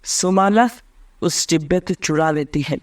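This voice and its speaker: High-pitched male storyteller. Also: a little slow. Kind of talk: scripted cartoon story narration.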